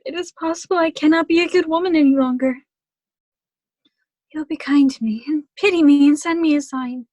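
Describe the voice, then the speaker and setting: A woman's voice: high voice, no room sound, natural voice. A young woman acting a timid, torn young woman in love in a stage melodrama, hesitant and earnest. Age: young adult. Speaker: student actor. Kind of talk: stage acting in a one-act period melodrama.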